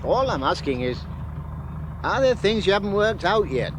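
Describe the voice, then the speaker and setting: An actor playing a caring older father with a Northern English accent, slow and plainspoken, in a radio play. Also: high voice, very animated. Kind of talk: 1970s radio drama. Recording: noisy background ambience.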